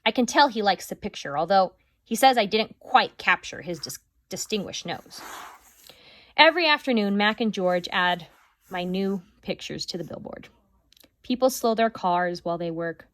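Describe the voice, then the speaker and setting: A woman teacher reading a chapter book aloud to her class, with expressive, lively storytelling. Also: medium pitch, normal speed, no room sound.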